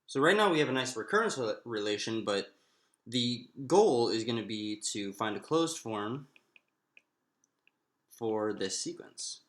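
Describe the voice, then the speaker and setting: A man giving an informal math lecture, thinking aloud in a halting way with drawn-out pauses. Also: medium pitch, animated, fairly bright tone. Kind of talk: informal lecture, working through a derivation aloud. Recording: roomy, echoing room sound.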